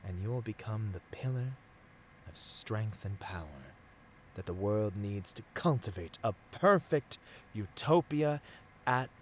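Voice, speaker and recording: A man's voice: on the low side, lively intonation, a little slow, a little hiss or hum. A man playing a seductive villain tempting a hero in a scripted ASMR roleplay, speaking intimately and theatrically and often dropping toward a whisper. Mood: intimate, fervent.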